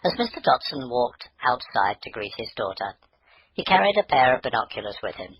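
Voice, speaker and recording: Male bedtime storyteller, medium pitch. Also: big pitch swings, fairly quick, dull audio, slight background noise. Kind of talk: scripted children's story narration.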